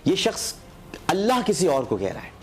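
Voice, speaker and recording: A man's voice: a little high, huge pitch swings, moderate pace, a little hiss or hum. A man with a Pakistani accent hosting a TV talk show, delivering a polemical monologue with rhetorical questions and an emphatic, accusatory manner.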